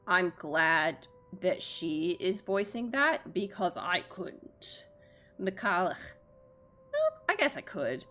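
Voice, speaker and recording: A woman's voice: fairly low pitch, huge pitch swings, slow pace, bright, forceful tone. A woman streamer reading visual novel narration aloud and adding chatty, self-deprecating reactions, with game music behind her.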